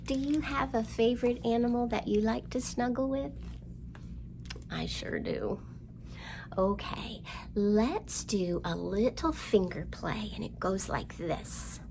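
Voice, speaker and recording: Middle-aged female storytime host, very varied pitch, child-directed delivery, some clear background noise. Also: lively intonation, takes it slowly, darkish tone. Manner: simple child-directed talk with questions and exaggerated delivery. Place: indoor room, seen at a storytime backdrop.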